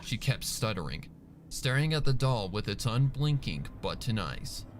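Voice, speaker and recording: A man's voice: on the low side, sing-song, normal speed, a little hiss or hum. A man reading scripted story narration as a fanfiction narrator.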